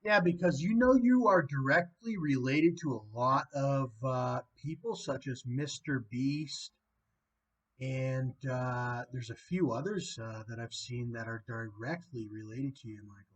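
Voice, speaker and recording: A man's voice: medium pitch, a little slow, muffled recording. A man from Canada hosting a casual phone chat, rambling with lots of fillers. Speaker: host calling a guest.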